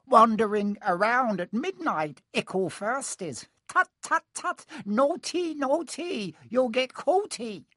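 A man's voice: very high voice, animated, slow pace, few pauses, sharp tone, dry recording, studio quality, pitched above the performer's own voice, heavily acted. A man voicing a mischievous poltergeist in an audiobook, with gleeful, teasing, sing-song baby talk. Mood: gleeful taunting. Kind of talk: audiobook character voice.

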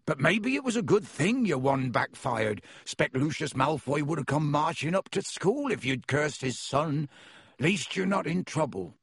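Man voicing a big gruff half-giant gamekeeper, growly, rustic, hearty, husky edge, dry close sound. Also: a little high, sing-song, measured pace, no room sound, voice pushed up, heavily acted.

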